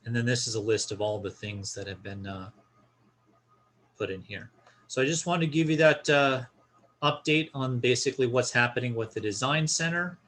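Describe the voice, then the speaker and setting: Male tutorial presenter, medium pitch, casual and instructional, guiding viewers through an on-screen walkthrough. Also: normal speed, a bit bright. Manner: informal, explanatory, step-by-step.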